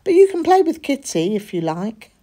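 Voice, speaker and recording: Woman as a pointed, lively little sister cat. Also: a little high, normal speed, pitched above the performer's own voice. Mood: smug. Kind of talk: children's storybook character voice.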